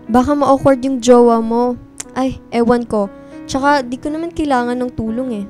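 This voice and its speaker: A woman's voice: fairly high pitch, steady tone, normal speed, darkish tone, soft background music, close to an everyday voice. A woman playing a sulky, teasing college-age best friend in a radio drama, with blunt slangy banter and a guarded streak. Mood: guarded, reluctant.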